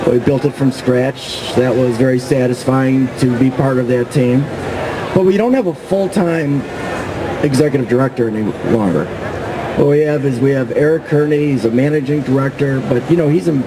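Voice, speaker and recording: A middle-aged man, a magazine publisher, chatting as a guest at a trade show booth, rambling with restarts into a stand mic. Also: medium pitch. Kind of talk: casual trade-show interview. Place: trade show booth, large indoor hall. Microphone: table stand mic. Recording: noisy hall with hum.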